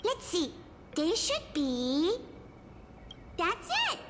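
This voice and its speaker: Small playful forest sprite: extremely high, swooping game voice, excitable, heavy reverb over music. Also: female voice, drawn-out words, heavy background noise, full character voice. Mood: eager. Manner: melodramatic, excitable. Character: small playful fairy-like forest creature, childlike and eager. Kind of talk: video game character acting. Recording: long hall reverb, music underneath.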